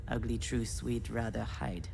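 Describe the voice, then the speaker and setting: An actress playing a failed screenwriter, a cynical, melancholic loner, in a measured, confiding, wry game monologue. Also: female voice, very deep voice, little pitch movement, creaky, background noise, heavily acted. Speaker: narrator protagonist of a visual novel. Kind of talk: introspective scripted monologue.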